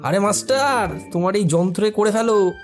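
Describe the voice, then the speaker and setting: A man playing a streetwise, money-minded but warm-hearted helper in an audio story, talking in slangy, familiar banter. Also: high voice, animated, moderate pace, soft background music. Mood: cheeky, persuasive. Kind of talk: audio story character acting.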